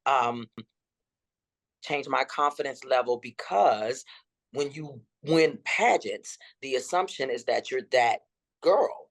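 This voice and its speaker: A woman in her fifties with a deep, rolling voice, telling her story dramatically as an interview guest. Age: 50s. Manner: dramatic and expressive.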